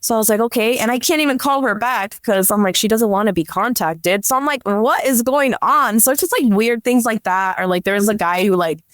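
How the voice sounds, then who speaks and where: A woman's voice: on the high side, fairly quick, few pauses, no echo, clean studio sound. A woman speaking as a podcast guest, telling work anecdotes casually and informally, peppered with "like" and quoted dialogue. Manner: chatty, informal, heavy use of "like", quotes dialogue. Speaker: podcast guest, police dispatcher.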